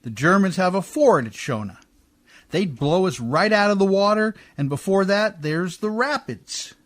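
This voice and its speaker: A high-pitched, very animated riverboat mechanic played by a man in a radio play. He speaks in rough, folksy, ungrammatical phrases, deferential to a lady yet openly skeptical. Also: normal speed. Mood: cautioning. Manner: folksy ungrammatical speech, often adding "miss". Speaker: actor in a community radio theatre. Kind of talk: radio drama acting in an old Hollywood radio style.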